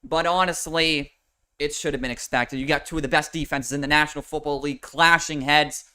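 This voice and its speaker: Nasal, bright-voiced young man streaming football talk. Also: a little high, steady tone, a little fast, clear, resonant tone. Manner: rambling and profane, with many fillers and restarts. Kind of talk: casual livestream sports commentary. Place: home room with a fan. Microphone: desk microphone with noise suppression.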